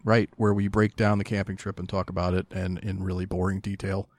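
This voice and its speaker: A man's voice: low-pitched, flat delivery, fairly quick, no echo. A man co-hosting a podcast with casual, wry, joking banter. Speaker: podcast co-host.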